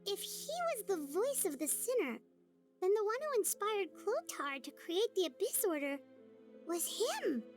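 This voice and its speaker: A woman voicing a tiny, earnest, excitable childlike fairy companion in a video game, chirpy and inquisitive. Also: very high-pitched, unhurried, exaggerated voice. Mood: realizing, excited. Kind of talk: video game character dialogue. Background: background music.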